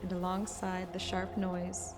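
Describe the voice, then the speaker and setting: Low-voiced woman narrating a story. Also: monotone, unhurried. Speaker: audiobook narrator and streamer. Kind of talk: scripted present-tense story narration. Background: music.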